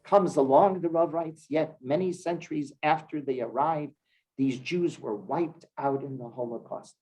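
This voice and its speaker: A man from Cleveland, a rabbi teaching a congregation, who stresses key words and then drops his voice low. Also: measured pace, darkish tone, low-quality recording. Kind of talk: Torah class sermon. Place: synagogue hall with congregation.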